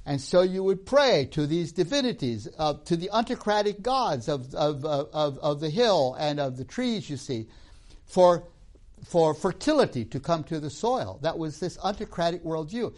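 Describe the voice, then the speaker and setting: A man's voice: a little high, lively intonation, normal speed, darkish tone. A man who grew up in Tanzania giving a storytelling lecture on religion and myth, often ending points with a tag question.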